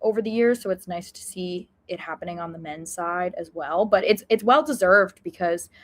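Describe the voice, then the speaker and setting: A woman's voice: fairly low pitch, moderate pace. Conversational woman co-hosting a podcast. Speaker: podcast co-host. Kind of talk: casual sports podcast chat.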